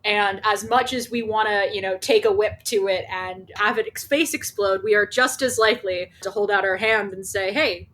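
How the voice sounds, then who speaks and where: A woman's voice: medium pitch, moderate pace, hardly any pauses, a bit bright. A woman chatting informally and playfully as a podcast co-host. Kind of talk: casual podcast chat.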